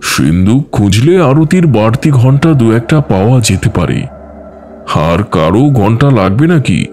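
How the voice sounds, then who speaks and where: A man playing a teasing older uncle in an audio story, speaking in a deliberate, mock-serious way. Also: medium pitch, big pitch swings, a little mellow. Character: teasing older uncle hosting guests in ancestral mansion. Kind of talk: dramatised audio story dialogue. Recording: music behind the voice. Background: background music.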